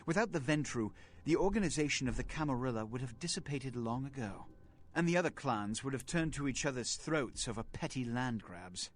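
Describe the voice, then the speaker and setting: An actor playing a centuries-old aristocratic vampire prince in a video game, pompous and condescending, with formal, measured diction. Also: male voice, mid-range voice, expressive, natural voice. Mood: proud, lofty. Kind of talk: video game dialogue acting. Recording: game audio with background music.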